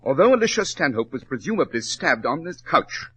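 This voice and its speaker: An actor playing a brisk, superior detective in 1940s radio drama style, with a pompous, clipped, instructive manner. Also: male voice, fairly high pitch, very animated, fairly bright tone, breathy edge, full character voice. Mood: superior, deductive. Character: brisk, superior, theatrical male detective, coolly analytical. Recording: dull, lo-fi 1940s radio recording.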